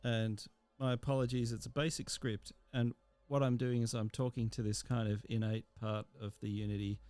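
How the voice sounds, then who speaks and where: A man giving an informal software tutorial as an instructor, explaining step by step in a halting way with pauses mid-sentence. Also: medium pitch, level tone, clean tone, no room sound, faint music behind.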